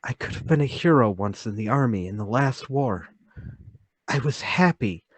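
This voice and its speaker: An actor playing a timid, troubled, lonely man confessing to murder in a radio-play table read, halting, pleading and intense. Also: medium pitch, expressive, breathy edge, dry recording. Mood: desperate, pleading.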